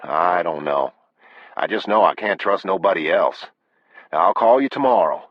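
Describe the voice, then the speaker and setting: A man's voice: low-pitched, lively intonation, moderate pace, dry recording, dull audio. A man playing a stubborn, guarded working man from the American South, speaking tersely in an audiobook. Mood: weary reassurance.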